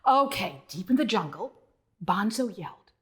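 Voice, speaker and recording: A woman telling a story to young children as its author, expressive and encouraging, often asking questions. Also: medium pitch, moderate pace.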